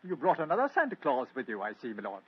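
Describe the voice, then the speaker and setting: A man's voice: medium pitch, wildly swooping pitch, fast, breathy edge. An actor playing a deferential, anxious male butler in an old radio drama. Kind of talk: 1940s radio acting. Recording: dull old radio recording.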